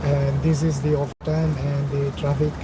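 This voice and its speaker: A young man with a husky, dry voice gives rambling, self-correcting commentary while driving through a city at night. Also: fairly high pitch, flat delivery, moderate pace. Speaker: vlogger. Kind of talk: casual narrated drive vlog. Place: in a car on a city road at night. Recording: loud road and car noise. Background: traffic and engine noise.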